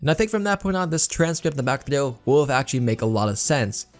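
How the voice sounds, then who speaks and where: A man's voice: mid-range voice, sing-song, racing. A man hosting a gaming news video, explaining updates in YouTube commentary style with repeated emphasis words. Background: background music.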